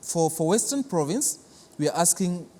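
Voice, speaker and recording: A man's voice: fairly high pitch, expressive, moderate pace, warm tone, slight background noise. Kenyan man explaining in a reverberant hall. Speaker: cultural centre official, interview guest. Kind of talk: explanatory interview answers.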